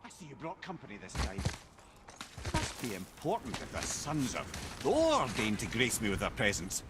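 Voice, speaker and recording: Clever, silver-tongued old sage: lively swings in pitch, middle register, wry boasting, game drama. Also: male voice, moderate pace, heavy background noise. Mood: mocking. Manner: wry, theatrical, eloquent. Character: witty, boastful, wise captive old male sage.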